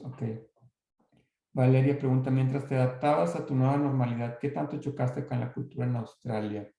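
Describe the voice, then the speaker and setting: A man with a Mexican accent answers questions after his talk, pausing and restarting often. His mid-pitched voice is mellow with a gravelly edge. The recording carries a heavy room echo. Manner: hesitant, many restarts and fillers. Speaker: guest speaker.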